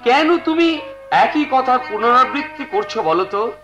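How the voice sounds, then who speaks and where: A man's voice: very high-pitched, lively intonation, measured pace, lo-fi sound. A man acting a domineering, impatient patriarch in Bengali jatra folk theatre, commanding and emphatic in a theatrical way. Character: domineering, impatient patriarch who expects total obedience. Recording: echoey stage sound with music.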